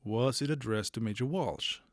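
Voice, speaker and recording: A man's voice: mid-range voice, moderate pace, dry recording, studio quality, close to an everyday voice. A man playing a petty, officious military major in an audio drama, hesitant and bureaucratic as he gives orders. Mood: peeved. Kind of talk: post-apocalyptic audio drama acting.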